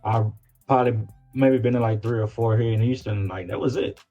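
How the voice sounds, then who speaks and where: A man's voice: medium pitch, steady tone, brisk, dark tone, dull audio, soft background music. A man chatting casually as an interview guest, informal and often repeating words as he searches for phrasing. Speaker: guest, independent rapper. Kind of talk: casual chat.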